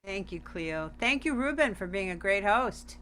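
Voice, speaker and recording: Female festival organizer, bright forceful voice, upbeat, over faint hum. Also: medium pitch, animated, moderate pace. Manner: upbeat, congratulatory. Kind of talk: casual online discussion. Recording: faint background hum and noise.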